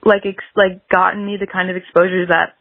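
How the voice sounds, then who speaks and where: A woman's voice: lowish voice, level tone, brisk, narrow, tinny audio. A woman chatting casually as a musician guest in an interview, rambling and peppering her talk with "like". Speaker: guest musician, singer-songwriter.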